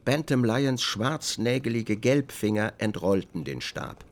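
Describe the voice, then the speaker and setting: A middle-aged man narrating a literary radio drama in a measured, deliberate storytelling manner. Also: mid-range voice, animated.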